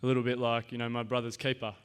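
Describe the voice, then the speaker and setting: Man, seminar participant, hesitant, smooth voice, flat delivery. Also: medium pitch. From Australia. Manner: halting, full of ums and restarts. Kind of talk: personal sharing at a seminar.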